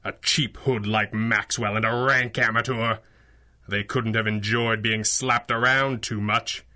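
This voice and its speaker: A man's voice: on the low side, steady tone, unhurried, bright, forceful tone. A man playing a suave, confident masked crimefighter in a pulp radio drama, wry, dry and theatrical. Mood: accusing.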